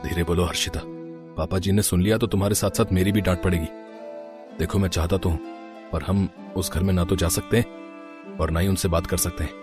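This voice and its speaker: A man's voice: low voice, steady tone, a little fast, music in the background, close to an everyday voice. A man playing an easygoing young husband in a cartoon family story, teasing yet cautious. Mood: cautious doubt.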